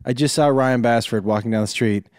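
A man's voice: fairly quick, clean tone, no echo, clean studio sound. A man chatting casually and telling funny stories, often acting out other people's lines. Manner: animated, jumps into high voices acting out stories. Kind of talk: casual storytelling chat.

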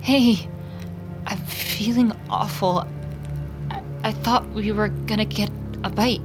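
An actress as a starving vampire woman in an audio drama. She speaks slowly and falteringly, weak and unwell, pleading with a troubled conscience. Music plays clearly behind her. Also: mid-range voice, natural voice. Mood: pleading, desperate.

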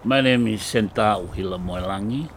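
Older man, gravelly voice. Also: on the low side, moderate pace, slight background noise. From Tonga. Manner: hesitant, with fillers. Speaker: community resident.

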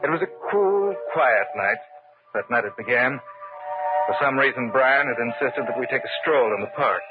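Muffled 1940s radio recording, loud music, male actor as grave storyteller, medium pitch, dramatic, uneasy. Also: lively intonation, normal speed, natural voice. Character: serious, uneasy man recounting a supernatural tale.

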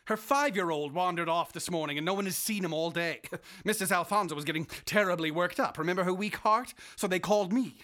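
An actor playing an arrogant, callous doctor and obsessive scientist in radio drama, brisk, dismissive and imperious. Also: male voice, high voice, bright, forceful tone. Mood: agitated, indignant. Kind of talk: audio drama in old-time radio style.

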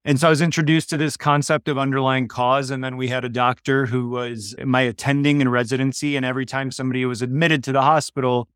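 A man who grew up speaking Polish first answers interview questions, telling his own story openly. His voice is smooth and resonant, and the close recording is dry. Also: medium pitch, quick pace, hardly any pauses, no room sound. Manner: relaxed, candid storytelling. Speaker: podcast guest, doctor.

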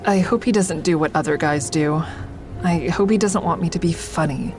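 Female audio drama lead, acted: low register, introspective, wry, guarded narrator, music bed underneath. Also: normal speed, lightly acted. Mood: uneasy, inward. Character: guarded woman, a stand-up comic and crisis-line volunteer, narrating. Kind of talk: first-person audio drama narration and dialogue.

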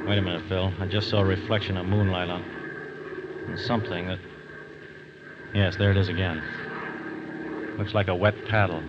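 A man's voice: sits low, little pitch movement, normal speed, pauses often, very noisy, natural voice. Actor as terse airborne lawman, roaring engine. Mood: intent, watchful. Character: male FBI agent spotting from a plane. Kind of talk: 1940s radio drama acting. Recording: muffled 1940s radio, loud engine drone. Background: loud aircraft engine.

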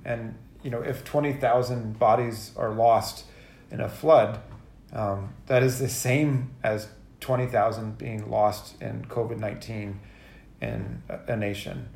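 A man giving a reflective, earnest interview answer as a guest, halting and repeating words as he goes. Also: mid-range voice, gravelly, a little mellow. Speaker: guest poet and editor. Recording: roomy, echoey sound.

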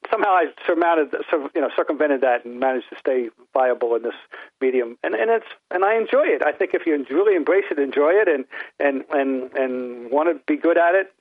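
A man's voice: fairly high pitch, dry recording. An older man with a New England accent, a guest sportswriter chatting in a podcast interview, who rambles and often restarts his sentences. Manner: rambling, many restarts and repeated words. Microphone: phone line. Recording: tinny phone line.

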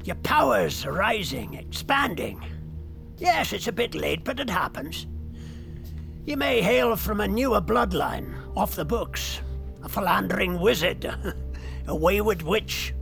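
Old smug wizard for a fiction podcast, slow n savoring every phrase, music underneath. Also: male voice, high voice, big pitch swings, gravelly, a bit bright, exaggerated voice. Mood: smug, amused. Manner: theatrical, savoring phrases, archly chuckling. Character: aging, worldly wizard peacekeeper, sly and condescending. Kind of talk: audio drama acting.

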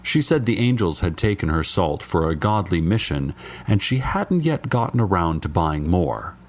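An actor playing a small-town radio host in an audio drama, delivering strange local news in a measured, deadpan, lovestruck way. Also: male voice, low voice. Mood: deadpan, smitten. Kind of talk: scripted radio-show monologue in audio fiction.

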